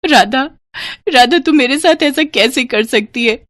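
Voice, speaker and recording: Woman voicing a meek, pleading, high-pitched young woman. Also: a little slow, fairly bright tone, no echo, clean studio sound. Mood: hurt, distressed. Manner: plaintive, pleading. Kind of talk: cartoon moral story voicing.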